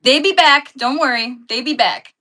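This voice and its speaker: Bright-voiced woman streaming live. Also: high voice, normal speed. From Canada. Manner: chatty, laughs often, rambles and corrects herself. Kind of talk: casual live-stream chat answering viewer comments.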